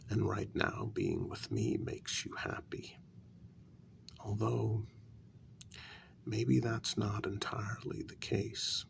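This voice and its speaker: A man performs a devoted, reasoning human consort of a vampire queen in an intimate ASMR role-play. His lowish voice is thick with gravelly creak and often drops to a breathy half-whisper. He takes his time, placing each phrase deliberately with lingering pauses. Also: very animated, full character voice. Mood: tender, resigned.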